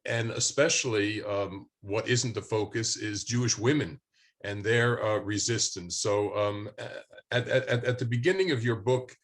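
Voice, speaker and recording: An older man, a professor, interviewing an author over a video call, talking slowly with many pauses and restarts. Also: mid-range voice. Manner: hesitant, many fillers and restarts. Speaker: academic interviewer. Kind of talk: conversational interview question.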